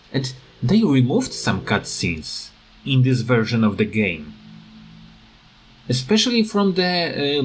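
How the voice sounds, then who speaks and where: A man who speaks English as a second language, with a foreign accent, giving rambling, halting, unscripted commentary as a gaming video creator. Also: a little high, breathy edge. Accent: non-native English. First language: not English. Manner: hesitant, halting, repeats words.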